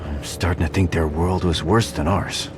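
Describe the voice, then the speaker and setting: Loud music backs a low-pitched game sidekick, voiced by a man, a mutant scavenger. He is uneasy and wisecracking, grumbling in loose everyday speech. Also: steady tone, moderate pace, lightly acted. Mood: wry. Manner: colloquial, questioning, grumbling. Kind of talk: video game character acting.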